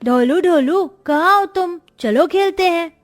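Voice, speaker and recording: A woman voicing an eager young boy who pleads excitedly, in a moral-story cartoon. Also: very high voice, measured pace, pitched above the performer's own voice. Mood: eager, calling.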